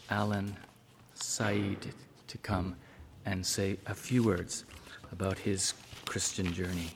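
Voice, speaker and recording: Slow, deep-voiced man leading prayer. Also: slight background noise. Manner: slow and solemn. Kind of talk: prayer and introduction.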